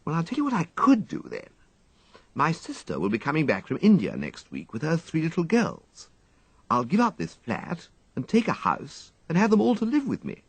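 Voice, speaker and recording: A man voicing a fretful, flighty young upper-class English gentleman who dithers and exclaims plaintively, in an audiobook story. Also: fairly high pitch, wildly swooping pitch, moderate pace, breathy edge, no room sound, voice pushed up, exaggerated voice. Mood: excited. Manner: exclamatory, dithering, drawling dandyish complaint.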